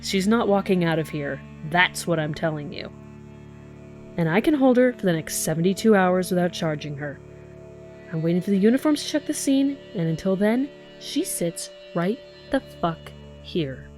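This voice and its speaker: Woman acting low-voiced, hard-bitten sarcastic police detective. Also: animated, normal speed, darkish tone, natural voice. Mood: furious, fed up. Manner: sardonic, blunt, confrontational. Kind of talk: dramatic audio drama acting. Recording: faint music behind the voice.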